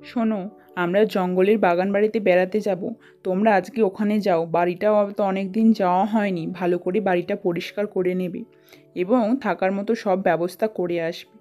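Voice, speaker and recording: A woman's voice: fairly low pitch, fairly even intonation, fairly quick, dark tone, soft background music, natural voice. A woman voicing a practical father in a children's cartoon story, giving instructions in a matter-of-fact way. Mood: instructing. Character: practical father of a schoolgirl, head of household.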